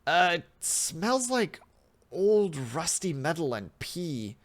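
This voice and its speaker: Man voicing a whiny, high-strung young woman. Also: big pitch swings, moderate pace, a bit bright. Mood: disgusted. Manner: whiny, exclamatory, informal. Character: frightened, disgusted young woman test subject exploring dark stairs. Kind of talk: horror fiction audio reading.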